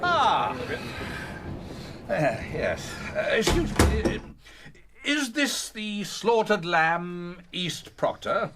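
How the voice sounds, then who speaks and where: Fussy English doctor, swooping pitch. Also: male voice, sing-song, extremely slow, fairly bright tone, heavily acted. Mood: polite, awkward. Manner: polite, hesitant, fussy. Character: genteel, inquisitive English doctor in a country pub. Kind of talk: radio drama acting.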